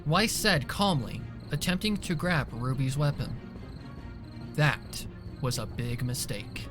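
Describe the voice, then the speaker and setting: A man's voice: medium pitch, very animated, takes it slowly. A man reading scripted dramatic narration for a fan fiction story. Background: soft music behind the voice.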